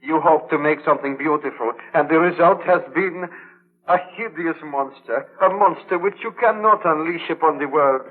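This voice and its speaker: An actor playing a loyal, cautious and easily horrified friend, earnest and dramatic in 1930s radio style. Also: male voice, fairly high pitch, moderate pace, narrow, tinny audio, lightly acted. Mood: grave, admonishing. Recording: vintage 1930s radio broadcast.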